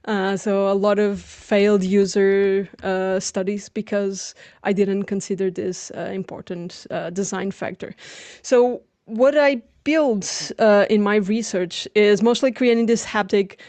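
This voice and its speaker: A woman's voice: mid-range voice, fairly even intonation, unhurried, darkish tone, no echo. A young adult woman with a Portuguese accent, a native Portuguese speaker, giving an informal academic lecture. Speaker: lecturer, PhD researcher.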